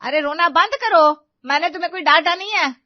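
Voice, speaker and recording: A woman's voice: medium pitch, lively intonation, moderate pace, no room sound, close to an everyday voice. A woman voicing a stern, bossy middle-aged mother-in-law in an audio story, lecturing and scolding. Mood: exasperated. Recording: slightly dull, dry recording.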